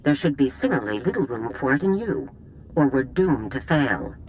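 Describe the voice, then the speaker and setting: A stern, commanding general. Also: female voice, a bass voice, big pitch swings, normal speed, a little mellow, a little hiss or hum, rough recording. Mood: scolding. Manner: clipped, authoritative, unbending. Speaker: army general. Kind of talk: video game cutscene acting.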